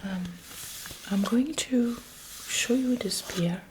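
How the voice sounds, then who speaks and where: A woman with a French accent softly whispering an ASMR shop roleplay in a breathy, gentle way, as if serving a customer. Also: fairly high pitch, slow, background noise. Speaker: ASMR host playing a beer shop assistant.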